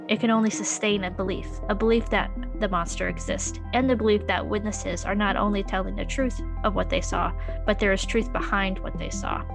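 A woman reads documentary narration in an even, measured way, her pitch sitting in the middle range. A clear bed of music runs underneath her. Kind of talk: scripted narration.

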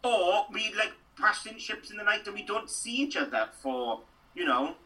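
A man's voice: sits high, expressive, moderate pace, pushed and bright, far from the mic, low-quality recording. A middle-aged Welsh man from Wales, an actor chatting animatedly in an interview and rambling through stories with lots of restarts. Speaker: actor and guest.

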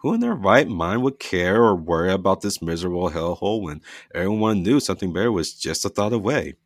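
A man's voice: low voice, expressive, fairly quick, no room sound, studio quality. A man voicing an earnest, eager adult daughter living in a virtual cloud.

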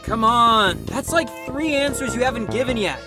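A woman's voice: a little high, animated, normal speed, music in the background, close to an everyday voice. A woman voicing a brash, teasing young male troublemaker in an audio drama. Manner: teasing, cocky, drawling.

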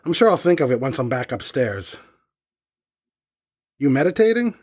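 An actor playing an absent-minded, laid-back young rock musician with a casual delivery in a horror audio drama. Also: male voice, medium pitch, very animated, normal speed, a little mellow. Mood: casual, puzzled.

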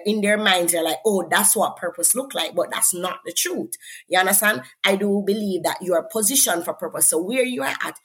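Jamaican woman, interview guest, husky tone, earnest, preacherly, faith talk. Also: mid-range voice, fairly bright tone. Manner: earnest, preacherly, asks "right?" often. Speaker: guest, author and faith coach.

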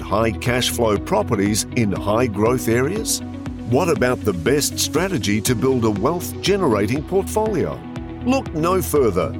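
A male announcer reads a scripted promo in a polished sales style. His pitch moves in a lively way, rising and falling, around a middle level. Music plays clearly behind him. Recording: voice over a music bed.

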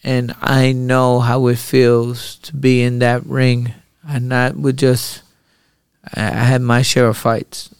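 A man hosting a podcast, telling rambling, profane stories in an animated way. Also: mid-range voice, slow, clear, resonant tone, no room sound. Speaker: podcast host and boxer. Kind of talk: casual podcast chat.

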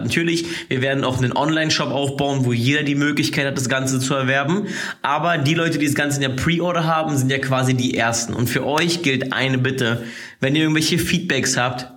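A man's voice: mid-range voice, fairly bright tone. Young guy rambling casually on his podcast, slangy, bit of a leftover cough, mid voice. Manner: loose, slangy, many fillers and restarts. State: lingering cough after a cold. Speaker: podcast host. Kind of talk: casual solo podcast monologue.

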